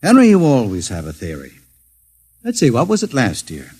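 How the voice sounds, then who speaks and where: An actor in a vintage radio drama playing a busy, condescending bureau chief, brusque, sardonic and exasperated. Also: male voice, mid-range voice, wildly swooping pitch, moderate pace, mellow, breathy edge, heavily acted. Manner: dismissive, sardonic.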